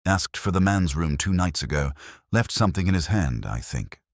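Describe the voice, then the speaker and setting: A man plays a humble male porter in an audio mystery. He speaks in short, hesitant, deferential bursts, low in pitch and very mobile in tone. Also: animated, normal speed, no room sound, studio quality. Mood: timid, deferential. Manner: hesitant, deferential, clipped fragments. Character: timid, deferential male hotel porter, working class.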